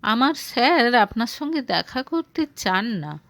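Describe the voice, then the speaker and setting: A woman's voice: on the high side, sing-song, unhurried, vocal fry, a bit bright, dry recording, heavily acted. A woman voicing an earnest young female office assistant in an audio story, pleading and polite. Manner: pleading, indignant.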